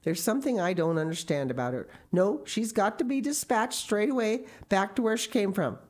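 A woman voicing a stern, sharp-tongued older woman from a storybook, scolding in a brisk, curt, emphatic way. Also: low-pitched, lively intonation. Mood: curt, firm. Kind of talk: storybook character reading.

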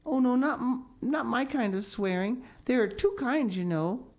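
A woman's voice: on the high side, lively intonation, moderate pace, a little mellow, pitched above the performer's own voice. A woman reading aloud and voicing an eager, dreamy young girl who gushes and pleads breathlessly. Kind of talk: audiobook character voice.

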